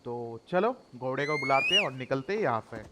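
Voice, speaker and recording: A man's voice: medium pitch, expressive, very fast, bright, forceful tone. A man with an Indian accent giving casual commentary as he plays a video game. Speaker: gamer, video host. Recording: clear game noise and clicks behind.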